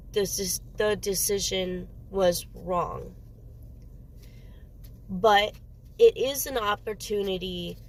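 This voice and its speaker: A woman's voice: medium pitch, creaky, a little hiss or hum, low-quality recording. A woman doing a casual tarot reading, sniffly with a cold, rambling and often stopping to search for words. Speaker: tarot reader.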